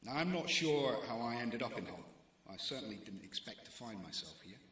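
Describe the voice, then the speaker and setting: A man's voice: mid-range voice, sing-song, a little fast, a bit bright, reverberant. A man in his forties with a Scottish accent, a newspaper editor giving a prepared talk to students with dry, wry humour and comic timing.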